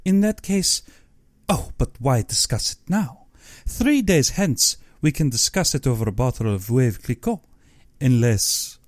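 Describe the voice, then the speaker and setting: A man's voice: medium pitch, expressive, a little slow, no echo, clean studio sound, natural voice. A man voicing an urbane, aristocratic general in an audiobook, a courtly and theatrical villain who savors his words with sinister glee. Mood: smooth, taunting. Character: urbane, cultured aristocratic general, gleefully sinister big-game hunter.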